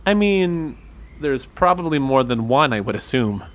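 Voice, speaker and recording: A man playing a thoughtful young folklore buff in an audio drama, explaining things in a measured, conversational way. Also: mid-range voice, big pitch swings, a bit bright.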